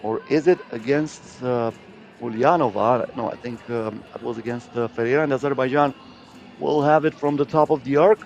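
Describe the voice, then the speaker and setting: A man's voice: medium pitch, moderate pace, darkish tone, highs cut off. A man giving live play-by-play commentary on a basketball game as a sports commentator.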